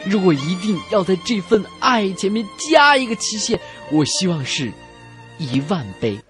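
A man's voice: high voice, big pitch swings, moderate pace, a bit bright. A man hosting a comedy radio show with scripted banter, talking in a theatrical, wordy, repetitive way. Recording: music bed behind the voice. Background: music.

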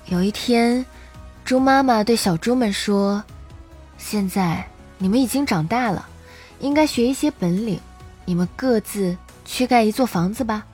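A woman's voice: fairly high pitch, a little slow, a little mellow, husky, soft background music. An actress playing a loving, frightened mother who comforts her small children in a soothing, motherly way in an audio drama. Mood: tender, reassuring.

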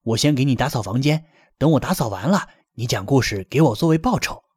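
A man voicing an eager, polite rabbit father in a bedtime story, asking quickly and earnestly. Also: medium pitch, animated, darkish tone, breathy edge, no echo, clean studio sound.

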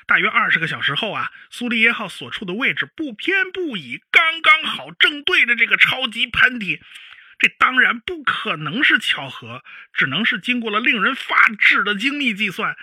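A man playing an animated professor of spaceflight, explaining emphatically like a TV expert in a radio drama. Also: sits high, husky, no echo, exaggerated voice. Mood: amazed. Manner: didactic, emphatic, with homely particles. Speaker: spacecraft chief designer, expert guest.

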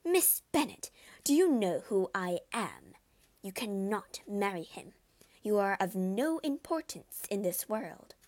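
A woman's voice: mid-range voice, big pitch swings, takes it slowly, a little mellow, no echo. A woman voicing a domineering elderly aristocratic lady who talks in an imperious, scolding way, raised above her own voice.

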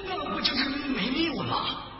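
A woman's voice: mid-range voice, wildly swooping pitch, voice pushed down. A woman voicing a big, gullible, timid male tiger in a children's storybook, speaking in a hesitant, bewildered way. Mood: timid worried. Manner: slow, hesitant, drawn-out, bewildered. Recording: muffled, echoey reverb, loud music behind.

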